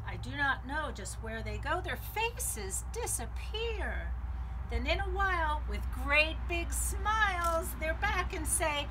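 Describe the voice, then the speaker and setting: American woman, middle-aged, children's read-aloud, high swooping voice, exclaiming, chatty, outdoors, distant mic, noisy background. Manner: animated, exclaiming, chatty asides to young listeners. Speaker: library storytime reader. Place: outdoor patio by woods. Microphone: camera mic a short distance away.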